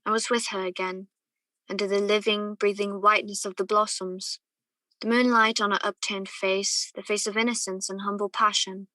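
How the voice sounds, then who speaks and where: Bright-voiced woman as a wistful young gentleman. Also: medium pitch, flat delivery, normal speed, no room sound, close to an everyday voice. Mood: troubled, pensive. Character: conflicted young gentleman in love, wistful narrator. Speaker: student or guest actor in a radio play. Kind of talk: radio play acting with first-person narration.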